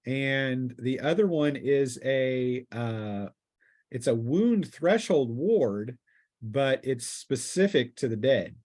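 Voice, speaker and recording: A man narrating a tabletop game as game master, improvising descriptions haltingly and thinking aloud. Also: medium pitch, expressive, a little mellow.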